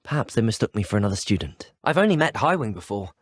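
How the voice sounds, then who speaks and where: Polite young British wizard student, expressive. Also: male voice, on the low side, fast talker, breathy edge, no echo. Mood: curious, casual. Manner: courteous, earnest, well-spoken. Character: polite, inquisitive teenage male wizard student. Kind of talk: scripted video game dialogue lines. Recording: dry, close studio voice recording.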